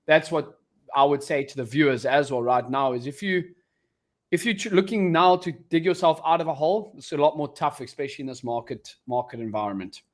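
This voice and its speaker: A man's voice: a little high, brisk. A man co-hosting a trading show, talking casually with hesitations and restarts. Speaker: trader co-host. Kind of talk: casual chat.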